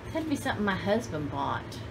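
A woman's voice: fairly low pitch, sing-song, fast, vocal fry, a little hiss or hum, rough recording. A woman in her sixties chatting casually to the camera in a rambling, folksy, exclamatory way, often talking to herself and her viewers. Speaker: vlogger showing vintage finds. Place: cluttered indoor room with shelves.

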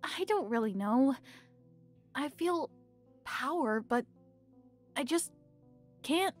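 A woman playing a young heroine who is unsure of herself but determined, with a high voice. She speaks slowly and falters and stammers between words. Faint music plays beneath her. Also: lively intonation. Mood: earnest. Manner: hesitant, stammering. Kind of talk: fan audio drama acting.

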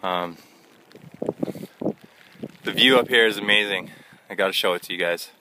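Low-voiced young man, windy outdoor vlog. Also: normal speed. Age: young adult. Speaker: hiking vlogger. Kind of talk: casual vlog narration to the camera. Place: outdoors on a wet, windy mountain trail. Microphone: handheld phone at arm's length. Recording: windy, noisy handheld phone recording outdoors. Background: loud wind and rain noise.

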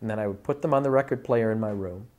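A man in his forties speaking deliberately and articulately in a reflective interview as an audiobook director. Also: on the low side, a little mellow. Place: small office, indoors. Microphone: lapel mic.

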